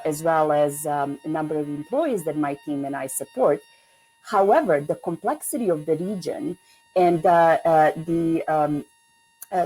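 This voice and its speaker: Keynote speaker: woman from Serbia, lowish voice, halting delivery full of fillers, heard over a video call. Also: darkish tone, rough recording. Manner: hesitant, many fillers and restarts. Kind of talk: online conference keynote.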